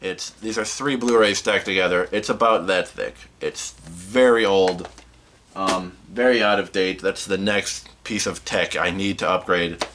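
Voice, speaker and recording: A man hosting a casual movie collection vlog, rambling and halting as he interrupts himself, slightly sniffly from a cold. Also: low voice, steady tone, fairly bright tone, slight background noise. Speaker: host of a movie collection video channel.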